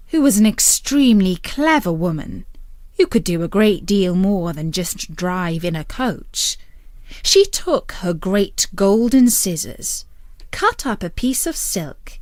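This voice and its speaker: A woman narrating a fairytale as a storyteller, with an expressive and deliberate storybook delivery. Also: medium pitch, dry recording, studio quality.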